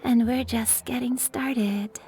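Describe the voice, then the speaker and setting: A fairly high, unhurried female voice for a confident game character. She is playful and flirtatious, teasing as she goes. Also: steady tone, dark tone, slight background noise. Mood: teasing, amused. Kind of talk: scripted game dialogue.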